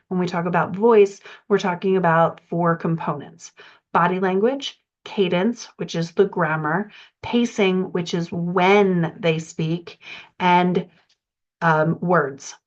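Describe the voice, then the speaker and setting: A woman with a somewhat low voice teaches like a writing coach, laying out her points in a measured and methodical order. The sound is slightly muffled and lo-fi, as in a modest recording. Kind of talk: instructional explanation.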